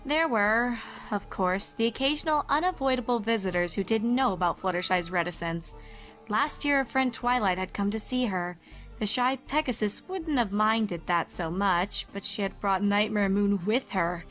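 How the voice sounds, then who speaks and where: A woman narrates a storybook tale from a script. Her voice has a middling pitch, and music plays quietly behind it. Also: normal speed.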